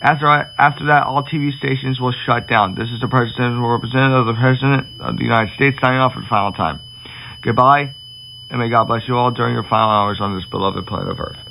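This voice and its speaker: A man playing a grave presidential representative giving a halting, stumbling emergency address about doom, often repeating his phrases. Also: medium pitch, fairly even intonation, vocal fry, lightly acted. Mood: despairing farewell. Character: grave male presidential representative announcing the world's end. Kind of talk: improvised emergency broadcast address. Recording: hum and faint background noise.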